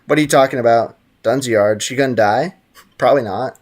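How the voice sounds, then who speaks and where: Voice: mid-range voice, brisk. A game streamer chatting casually on a livestream in a teasing, slangy, mock-stern way. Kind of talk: casual livestream chat over gameplay. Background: faint game music.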